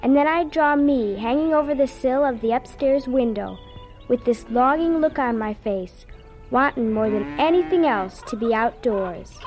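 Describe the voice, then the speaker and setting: A high-pitched, childlike farm girl of ten, acted by a woman, tells her story in a folksy radio drama style. She is eager and dreamy, with soft music behind her. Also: moderate pace, close to an everyday voice. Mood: wistful storytelling. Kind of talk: radio drama first-person narration and dialogue.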